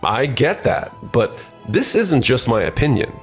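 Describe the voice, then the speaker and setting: Manga dub role: pushy, self-important corporate schemer voiced by a man, mid-pitched, very animated, music underneath. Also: unhurried. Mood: smug, pushy. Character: scheming, self-important male newly transferred office manager. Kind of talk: scripted manga dub acting.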